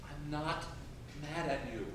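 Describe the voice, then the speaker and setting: A man's voice: on the high side, takes it slowly, a bit bright. A man playing an earnest, uneasy sculptor and sweetheart in a stage play, speaking hesitantly with a testy edge. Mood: tense, irritated. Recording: very echoey, noisy stage recording from far off.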